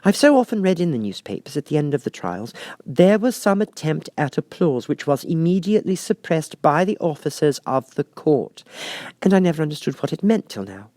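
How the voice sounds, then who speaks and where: A thoughtful little girl from a storybook, put on by a man reading aloud. The voice is raised high with a lively lilt. She muses curiously to herself in a matter-of-fact way. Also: fairly quick, dry recording. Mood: musing.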